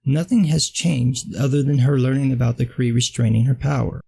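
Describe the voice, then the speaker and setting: A man's voice: mid-range voice, steady tone, normal speed, creaky, dark tone, breathy edge. A man with a dry voice delivering a sarcastic, blunt scripted film commentary. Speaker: film critic vlogger.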